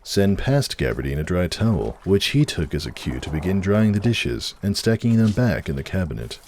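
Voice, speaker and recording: A man's voice: low voice, sing-song, moderate pace, a little mellow, slight background noise. A man reading fan fiction as a narrator, in a dramatic storybook style.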